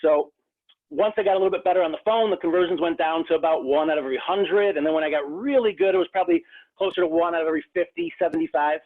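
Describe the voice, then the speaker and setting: A real estate agent from the Rochester, New York area, talking earnestly in a casual interview, with many restarts. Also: male voice, fairly high pitch, very fast. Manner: earnest, frequent restarts and fillers. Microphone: phone. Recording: tinny phone line.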